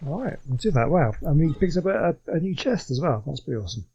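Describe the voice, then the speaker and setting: A man's voice: fairly high pitch, expressive, fast, mellow, slightly rough, dull audio. A man giving casual running commentary on the game he is playing. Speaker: gaming video host.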